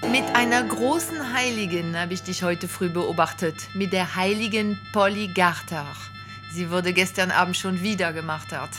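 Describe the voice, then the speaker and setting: A woman's voice: fairly low pitch, normal speed, music in the background, natural voice. A woman playing an icy, haughty, scolding wife with a biting manner in a radio play. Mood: icy scorn. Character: icy, snide, scolding wife, Mrs. Pugh.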